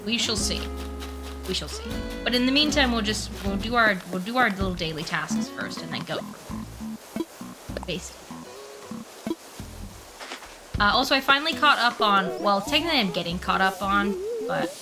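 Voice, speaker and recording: A young woman streaming from her room with a mild cold, chatting casually and scatterbrained while she plays and answers chat. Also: medium pitch. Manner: rambling, scatterbrained, lots of ums and restarts; soft laughs, reads and answers chat. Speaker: livestreamer. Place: room at home with the window open. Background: game music.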